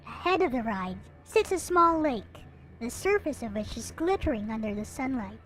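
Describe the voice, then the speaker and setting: A woman reading a visual novel aloud in an expressive, theatrical way, like a dramatic narrator. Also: a little high, normal speed, low-quality recording. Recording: music playing behind the voice. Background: visual novel soundtrack music.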